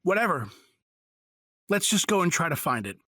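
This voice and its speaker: Exasperated sceptical husband, petulant, very animated, husky, dry recording, audio drama. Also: male voice, high-pitched, moderate pace, no echo, exaggerated voice. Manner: incredulous, petulant. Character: exasperated, sceptical husband thrown into fantasy world.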